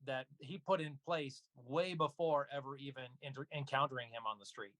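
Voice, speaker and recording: A man in casual conversation as an interview guest, explaining things in measured phrases. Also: medium pitch, fairly even intonation, a bit bright, no room sound, rough recording.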